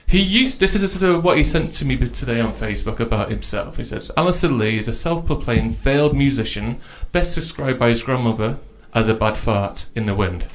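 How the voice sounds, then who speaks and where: A man with a mid-pitched voice hosts a live radio talk show. He talks in a casual, comedic chat style into a studio microphone. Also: animated, a little fast, hardly any pauses.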